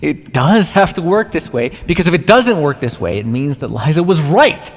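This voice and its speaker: A man playing a condescending, conflicted vampire captor and scientist in a theatrical ASMR roleplay, teasing the listener with sighs and drawn-out words. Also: on the high side, lively intonation, big-room sound. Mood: agitated.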